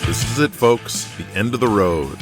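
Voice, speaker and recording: A man with a fairly low voice narrates an audio drama. He delivers scripted storytelling in a dramatic style as the show's host, with music clearly audible underneath. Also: animated, normal speed. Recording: music behind the voice. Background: music bed.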